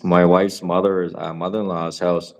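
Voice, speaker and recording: A man with a very deep voice co-hosts a podcast in easy, rambling conversation. He swears casually and laughs a lot as he talks. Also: level tone, moderate pace, a little mellow. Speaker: podcast co-host. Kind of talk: casual chat.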